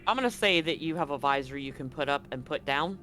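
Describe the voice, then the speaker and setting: A man's voice: fairly high pitch, lively intonation, quick pace, bright, forceful tone, soft background music. A man running a tabletop game, explaining scenes informally and directly to his players. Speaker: game master. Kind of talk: improvised tabletop game narration.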